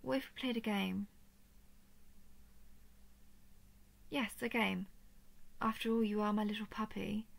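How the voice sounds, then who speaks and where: A woman playing a royal female dragon in an intimate audio roleplay, teasing and protective, with soft, slightly formal speech and a creaky voice. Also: mid-range voice, steady tone, moderate pace. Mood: playful, teasing. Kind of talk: intimate scripted audio roleplay addressed to listener.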